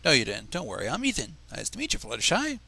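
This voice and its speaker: A man's voice: a little high, wildly swooping pitch, quick pace, gravelly, pushed and bright, husky, heavily acted. A man voicing a shy, friendly young human man in a fan fiction reading, polite and reassuring. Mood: friendly, curious.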